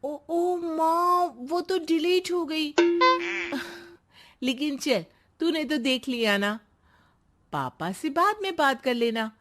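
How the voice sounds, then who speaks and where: A woman's voice: high voice, expressive, slow pace, fairly bright tone, faint music behind, pitched above the performer's own voice, heavily acted. A woman playing a scheming, falsely sweet young daughter in a moral story, with a wheedling way of talking. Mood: sly coaxing. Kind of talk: acted moral story dialogue.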